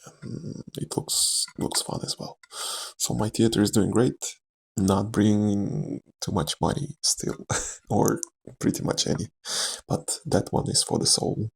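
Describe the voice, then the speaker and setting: A young adult man with a Slavic accent, speaking softly and candidly in a rambling, casual ASMR chat, with frequent fillers and quiet laughs. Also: lowish voice, takes it slowly, creaky, husky. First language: Czech or another Slavic language. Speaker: actor.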